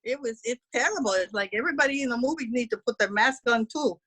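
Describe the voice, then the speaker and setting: A woman's voice: a little high, fast talker, a bit bright, slight background noise, close to an everyday voice. A woman voicing a brash, gossipy middle-aged housekeeper, talking bluntly in broken English. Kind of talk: character stand-up comedy.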